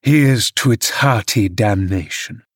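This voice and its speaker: A man's voice: fairly low pitch, takes it slowly, slightly rough, no room sound, studio quality, exaggerated voice. Guy playing a drunk cruel dad, blustering and bitter, storybook reading. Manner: raging, blustering. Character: drunken violent young man, a cruel father.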